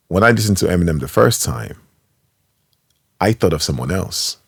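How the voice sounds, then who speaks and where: A man hosting a casual interview podcast, talking with enthusiasm, quick to laugh and swinging between loud and soft. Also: extremely low pitch, vocal fry, no echo, studio quality. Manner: animated, laughs easily, enthusiastic. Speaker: podcast host.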